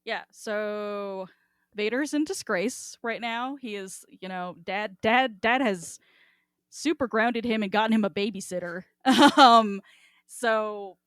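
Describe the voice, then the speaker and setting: Young woman, podcast co-host. Slangy, giggly, casual, medium pitch, dry sound. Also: takes it slowly, a bit bright, no room sound. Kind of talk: casual chat about an audio drama.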